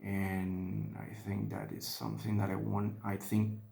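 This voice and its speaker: Spanish-accented man, lowish gravelly voice, unhurried and halting, casual hobby vlogger at his desk. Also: big pitch swings, mellow. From Mexico. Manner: halting, thinking aloud with many restarts and fillers. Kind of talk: casual talk while painting miniatures.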